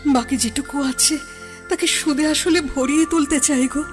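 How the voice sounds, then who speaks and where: A woman's voice: high voice, fairly even intonation, moderate pace, music in the background, natural voice. A woman playing a wistful middle-aged lover. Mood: tender. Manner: soft, yielding, wistful. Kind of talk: radio drama acting.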